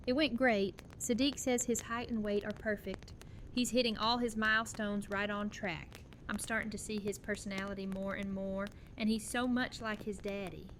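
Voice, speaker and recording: A woman plays a young widowed mother in an audio drama. She is earnest and resolute, with a tender, conversational delivery at a middling pitch. Noticeable ambient noise sits behind the voice. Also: fairly even intonation, moderate pace, fairly bright tone, lightly acted, poor audio. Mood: fond, proud. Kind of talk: fan audio drama dialogue. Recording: clear background noise and ambience.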